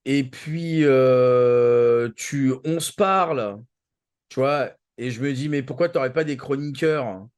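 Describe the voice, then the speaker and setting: A man chatting casually as a show panelist, halting and repeating himself as he searches for words. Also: medium pitch, darkish tone, smooth. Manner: halting, searching delivery with repetitions. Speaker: show regular, co-founding panelist. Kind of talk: casual live show chat.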